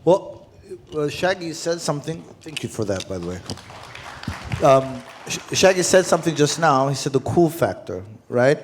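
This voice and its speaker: A male panel host with a slightly raised pitch who grew up in Zimbabwe. He speaks in an animated, talkative way and builds long questions for his guests. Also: moderate pace, slight background noise. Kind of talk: panel moderation.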